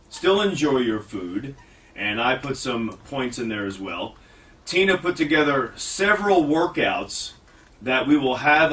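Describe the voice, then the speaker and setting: A man's voice: medium pitch, fairly bright tone, far from the mic. A man hosting a fitness radio show, talking casually, rambling and mocking himself. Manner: rambling, self-mocking, restarts often. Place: radio studio.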